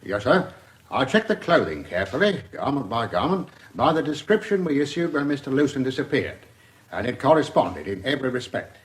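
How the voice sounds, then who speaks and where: A man's voice: mid-range voice, very animated, fairly quick, close to an everyday voice. A man playing a methodical, deferential English police inspector giving careful, factual evidence in a radio drama. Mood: dutiful, factual.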